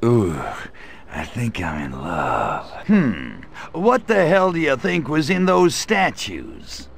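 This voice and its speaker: Brash male alien invader, gravelly voice, slow drawl, big swooping pitch, wisecracking and flirty, game cutscene. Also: huge pitch swings, slight background noise, exaggerated voice. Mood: incredulous. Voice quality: gravelly, slangy wisecracking delivery. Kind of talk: video game cutscene acting.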